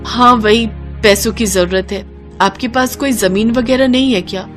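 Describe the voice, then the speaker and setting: A woman's voice: fairly high pitch, normal speed, faint music behind, pitched above the performer's own voice, lightly acted. A woman doing a patient young village cook. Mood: meek. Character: patient poor young woman running a roadside eatery.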